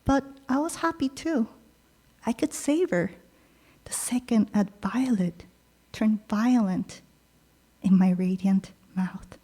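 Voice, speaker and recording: Brief: woman poet, Korean native, reading her verse slowly, mid-range pitch. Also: rough recording. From Peru. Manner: slow, measured delivery with soft pauses between lines. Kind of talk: poetry reading.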